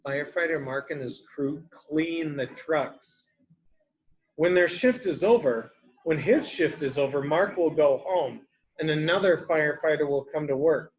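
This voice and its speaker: A man's voice: a little high, measured pace, rough recording. A man reading a picture book aloud to young children, explaining in simple, repeated words and asking questions as a teacher does.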